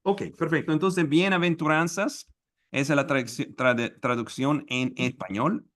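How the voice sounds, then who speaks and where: A man whose first language is English, leading a Bible study in slow, halting Spanish with pauses and restarts over a video call. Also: a little high, fairly bright tone. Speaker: preacher.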